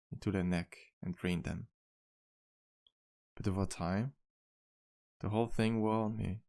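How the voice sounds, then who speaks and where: A man acts out a charming male vampire bartender for an ASMR roleplay. His voice sits low, with a heavy gravelly creak. He speaks gently and modestly, in courteous, slightly formal phrases meant for a single listener. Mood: somber, remorseful. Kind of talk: ASMR roleplay, intimate one-sided dialogue.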